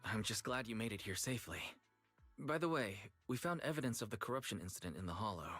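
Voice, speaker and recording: A level-headed, concerned man in a video game. His mid-low voice is recorded very dry, over soft music. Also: animated, quick pace, dry recording. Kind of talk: scripted game dialogue.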